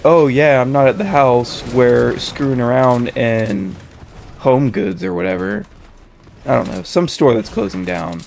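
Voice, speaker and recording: A young man, slightly hoarse and stuffed up with a cold, telling a story in casual, slangy, sweary talk. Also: mid-range voice, a little mellow, slight background noise. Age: young adult. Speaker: storytelling host.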